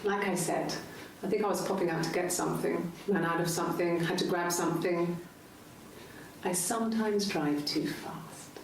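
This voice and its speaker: An actress playing a defensive, sharp-tongued woman under police questioning, answering in guarded, clipped phrases in a naturalistic style. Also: fairly low pitch, fairly even intonation, moderate pace, close to an everyday voice. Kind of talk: naturalistic recorded interrogation acting. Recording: echoey, distant, noisy interview-room tape.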